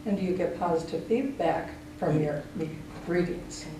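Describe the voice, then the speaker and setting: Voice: mid-range voice, moderate pace. An interviewer speaking informally in a conversational exchange. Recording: echoey distant room recording, faint noise.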